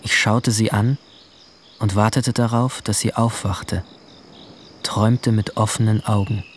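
A young man playing a brooding, guilt-haunted seventeen-year-old boy, narrating his story in measured, introspective short sentences in a radio drama. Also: mid-range voice, a little hiss or hum, natural voice. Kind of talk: literary first-person radio drama narration. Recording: music bed behind the voice.

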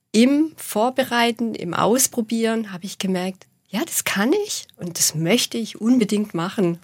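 Calm Swabian woman in her forties. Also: medium pitch, no room sound, clean studio sound. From southwest Germany. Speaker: guest. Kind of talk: radio interview. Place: radio studio.

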